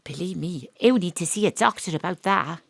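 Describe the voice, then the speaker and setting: An actress playing a down-to-earth private detective, dry and sardonic, who also narrates. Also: female voice, fairly low pitch, animated, fairly quick, gravelly, no room sound. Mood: wry. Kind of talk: audio drama acting with narration. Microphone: home studio microphone.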